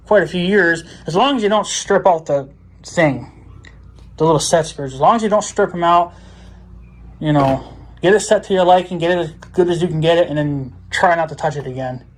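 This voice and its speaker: A man talking casually to viewers in a hands-on DIY vlog, rambling and thinking aloud in folksy, informal words. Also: on the high side. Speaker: vlogger.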